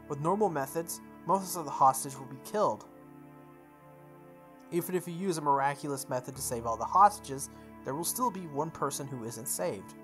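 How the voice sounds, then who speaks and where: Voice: mid-range voice, fairly bright tone. A person reading visual novel text aloud for a playthrough, stumbling over words and repeating phrases, with offhand asides. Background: music.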